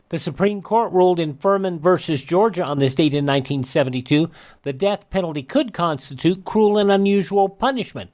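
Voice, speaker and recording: A man with an American accent hosting a radio show, reading out historical dates in a scripted almanac style. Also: on the high side.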